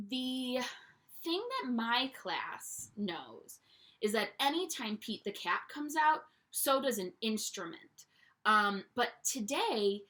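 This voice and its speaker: A young woman teacher reading a picture book aloud to children at home, in an animated storytime voice that invites them to join in. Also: on the high side. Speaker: primary school teacher.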